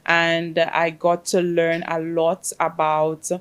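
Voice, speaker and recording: A woman from the Caribbean whose first language is Spanish, chatting as an interview guest and telling her story with many "um" pauses. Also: deep voice, completely flat delivery, clear, resonant tone. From Caribbean, grew up in Grenada. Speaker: guest, fintech project manager. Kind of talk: casual interview chat.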